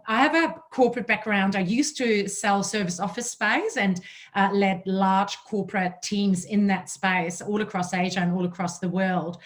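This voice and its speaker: German-accented woman presenting a webinar. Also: mid-range voice. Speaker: leadership coach, webinar presenter.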